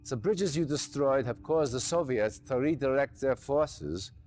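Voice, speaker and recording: A man's voice: mid-range voice, lightly acted. A man playing a stern allied general who gives clipped, commanding mission briefings in a game cutscene. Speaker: military commander giving briefings.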